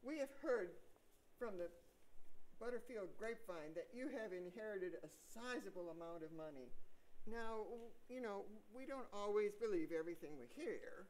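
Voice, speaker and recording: An older woman playing a pushy but polite fundraising visitor in a staged comedy reading, coaxing and deliberate. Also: mid-range voice, animated, darkish tone, natural voice, rough recording.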